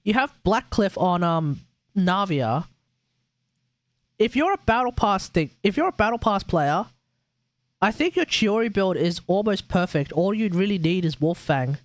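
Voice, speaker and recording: A man's voice: high voice, animated, a little slow, no echo. A man who streams games, giving casual, slangy commentary and bluntly thinking aloud as he reviews. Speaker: game streamer.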